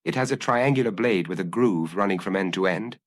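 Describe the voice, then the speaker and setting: A man's voice: lowish voice, brisk, close to an everyday voice. A man voicing a dry, hard ex-inspector turned blackmailer in an audiobook detective story, speaking with firmness and precision. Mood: firm, pressing. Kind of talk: audiobook character acting.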